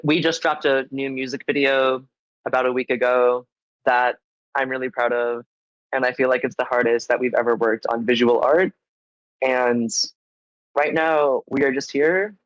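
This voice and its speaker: A man with a congested voice talks as a guest musician in a casual interview. His delivery is informal and meandering, peppered with "like". The sound is dry and slightly dull, as on a low-fidelity stream. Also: a bit bright, no room sound. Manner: informal, meandering, heavy use of like. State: getting over a cold, congested. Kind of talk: casual chat. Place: live online stream.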